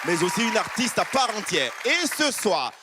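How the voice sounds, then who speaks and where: A man's voice: high voice, very animated, normal speed. A man hosting a talent show live on stage, presenting in a teasing, theatrical way. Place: large stage before an audience. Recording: noisy stage with loud crowd.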